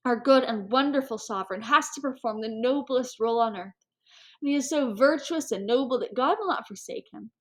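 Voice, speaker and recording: A woman voicing an impulsive society hostess of about forty, animated and theatrical, in a storybook reading. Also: fairly high pitch, brisk, close to an everyday voice. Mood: impassioned. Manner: animated, impetuous, declamatory.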